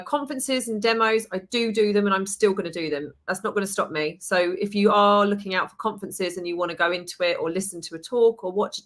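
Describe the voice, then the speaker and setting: A woman from the UK with an English accent, a permanent makeup trainer chatting as a podcast guest and telling stories in a lively way. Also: fairly low pitch. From United Kingdom. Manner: chatty, lively storytelling with laughs. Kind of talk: casual podcast chat.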